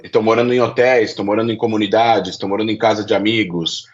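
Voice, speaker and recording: Lowish-voiced Brazilian man explaining, slightly dull audio. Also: steady tone, very fast. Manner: didactic, lays out points step by step. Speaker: political analyst, interview guest. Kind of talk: explanatory commentary.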